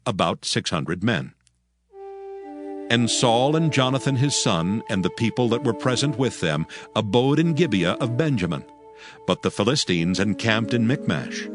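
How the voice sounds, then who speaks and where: A man narrating scripture in a solemn, scripted, dramatic storytelling style. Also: medium pitch. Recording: music under the narration.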